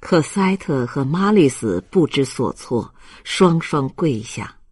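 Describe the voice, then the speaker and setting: A man reading a literary audiobook as narrator in a solemn, measured and expressive manner. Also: a little high, breathy edge.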